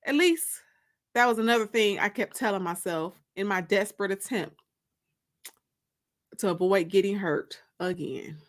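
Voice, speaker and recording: A woman narrating a first-person story aloud in an expressive, confiding, emphatic way. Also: mid-range voice, normal speed, pauses often.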